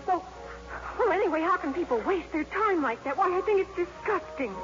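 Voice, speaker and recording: A woman's voice: very high voice, expressive, brisk. An actress playing an earnest, easily embarrassed teenage schoolgirl in a 1950s radio comedy, with a breathless, flustered delivery. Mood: eager, anxious. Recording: dull vintage radio broadcast with music.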